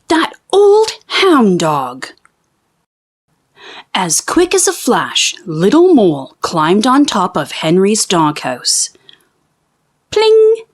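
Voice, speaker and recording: A woman reading a children's storybook aloud in an expressive, theatrical and deliberate storytelling manner. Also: a little high. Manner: theatrical, deliberate storytelling with drawn-out sound words. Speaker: children's book read-aloud host.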